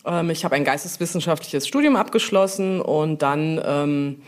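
A woman's voice: deep voice, expressive, normal speed. A middle-aged woman talking casually and explaining things as an interview guest, often feeling her way through sentences. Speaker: brand manager and interview guest.

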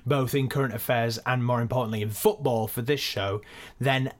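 A man's voice: medium pitch, fairly even intonation, normal speed. A man, a podcast co-creator, answering listener questions in a casual, chatty, self-deprecating way. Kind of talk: casual behind-the-scenes Q and A.

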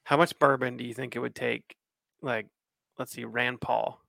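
Man, gravelly voice, bright tense tone, easygoing podcast chat. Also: mid-range voice, fairly even intonation, normal speed, dry recording. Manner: easygoing, agreeable, laughs often. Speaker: podcast co-host. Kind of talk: casual chat over beers.

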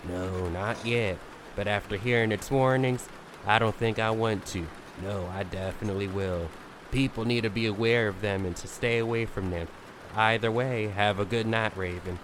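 A man's voice: fairly low pitch, fairly even intonation, normal speed, noisy background, lightly acted. A man playing an uneasy wayfinder calling in to a night radio show in an audio drama. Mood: wary. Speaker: radio call-in caller.

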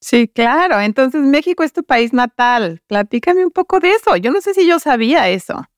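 A woman speaks in a slightly high, crisp voice. She hosts a casual interview, engaged and informal, often affirming what she hears. The sound is dry, with no room echo. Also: lively intonation, moderate pace. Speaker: podcast host, interviewer.

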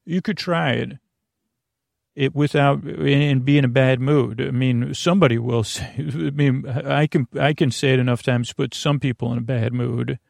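Creaky-voiced man, rambling gently. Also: mid-range voice, steady tone, moderate pace, no echo, clean studio sound. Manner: meandering, frequently trailing off and circling back; silly, goofy. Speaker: podcast host. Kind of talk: rambling bedtime podcast introduction.

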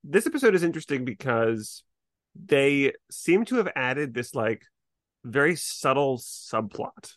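A man's voice: medium pitch, very animated, unhurried, fairly bright tone, no room sound. Man casually chatting, close dry sound. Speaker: podcast co-host. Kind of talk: casual discussion, recapping TV episodes.

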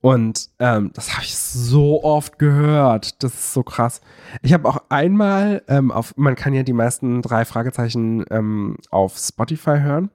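A man's voice: medium pitch, measured pace, darkish tone, dry recording. Man, podcast co-host, casual conversation, enthusiastic rambling explanations, mid-range voice, dry recording. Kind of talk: casual chat.

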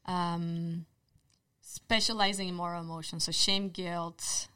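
Polish-accented woman, thoughtful and measured. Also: on the low side, little pitch movement, sharp tone, smooth. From Poland. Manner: thoughtful, measured, with fillers and restarts. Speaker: guest, social psychologist. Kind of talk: casual conversation.

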